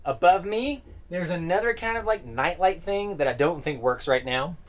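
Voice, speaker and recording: A man's voice: fairly high pitch, expressive, moderate pace. A man vlogging a casual tour of a small sleeper train cabin, dropping dry joking asides. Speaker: travel vlogger.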